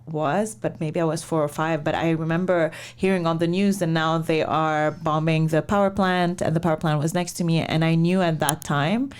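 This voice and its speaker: A woman from Lebanon with a slightly low voice tells her life story in an interview. She talks in a measured, reflective way, pausing often and filling gaps with soft hesitations. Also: smooth. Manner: measured, reflective, many pauses and fillers. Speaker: guest, startup founder and investor.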